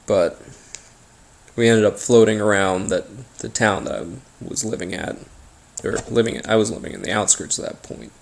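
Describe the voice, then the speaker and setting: An American man telling a first-person paranormal story in an informal, halting way, pausing between short phrases. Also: fairly low pitch, gravelly. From Appalachian region of the United States. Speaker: host and narrator of a paranormal story series.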